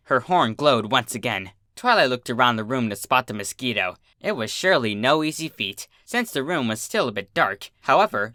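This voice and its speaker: A man reading a story as narrator, in an expressive, dramatic scripted style. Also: medium pitch, dry recording, clean studio sound. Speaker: fan fiction narrator.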